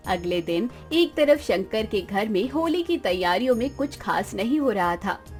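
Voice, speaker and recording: A woman's voice: medium pitch, darkish tone. A woman reading scripted narration for a moral story as its narrator.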